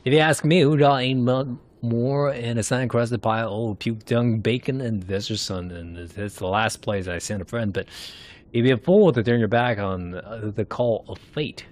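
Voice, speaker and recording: A man's voice: mid-range voice, moderate pace, natural voice. Man doing a folksy, fatherly old-salt sailor. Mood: earnest, encouraging. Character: gruff, friendly seafaring man, folksy and fatherly.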